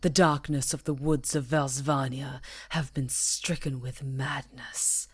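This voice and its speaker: A woman playing a proud, solemn female werewolf chieftain in an ASMR roleplay, speaking gravely and formally in a husky, breathy voice. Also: very deep voice, flat delivery, dry recording, clean studio sound, full character voice. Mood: grave, measured. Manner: slow, grave, formal pronouncements.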